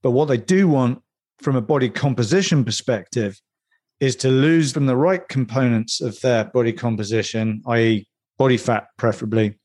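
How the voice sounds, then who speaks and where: A man co-hosting a discussion, setting up the topic in a measured, structured way. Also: medium pitch, a little mellow. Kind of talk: conversational expert discussion.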